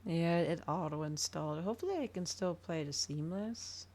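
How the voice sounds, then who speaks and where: A woman's voice: fairly low pitch, normal speed, a little mellow. A woman livestreamer chatting casually to her viewers, rambling, trailing off and thinking aloud. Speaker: livestreamer hosting an ASMR and gaming channel.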